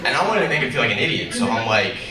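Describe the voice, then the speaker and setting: A young man doing a stand-up comedy set, with a low voice and a deadpan, rambling, self-mocking delivery. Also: racing, heavy background noise. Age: young adult. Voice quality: low, deep voice. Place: comedy club stage with a live audience. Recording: roomy, distant live club recording with loud crowd laughter.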